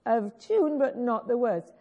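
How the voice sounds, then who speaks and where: A woman's voice: on the high side, animated, unhurried. A woman with a growly voice preaching a sermon, earnestly urging the congregation and coaxing them to join in. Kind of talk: sermon, teaching a worship song. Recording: dull lo-fi recording.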